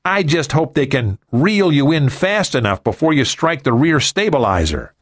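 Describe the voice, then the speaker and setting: A man's voice: fairly high pitch, big pitch swings, moderate pace, no room sound, voice pushed up. A man voicing a dry, teasing, matter-of-fact crew colleague in an audiobook adventure. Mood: dryly teasing.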